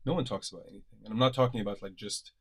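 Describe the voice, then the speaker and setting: A man's voice: lowish voice, very fast, breathy edge. A man from Kuwait talking reflectively in a casual panel discussion, explaining his views in long runs. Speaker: panel guest.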